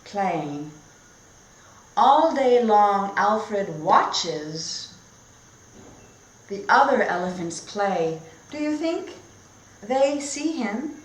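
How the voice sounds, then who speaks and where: A woman reads a children's story aloud as a teacher, at a slow and careful pace. She keeps her phrases short and simple and often turns to questions for her listeners. The room is echoey, with noticeable background noise. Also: medium pitch, big pitch swings. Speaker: teacher demonstrating read-aloud techniques. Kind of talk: storybook read-aloud lesson for young learners.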